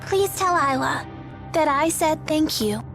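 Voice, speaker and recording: Very high, shy little girl. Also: animated, a little slow, exaggerated voice. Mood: quiet resolve. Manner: soft-hearted, hesitant. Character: shy, gentle, sad little orphan girl. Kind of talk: anime game dub acting. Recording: music behind.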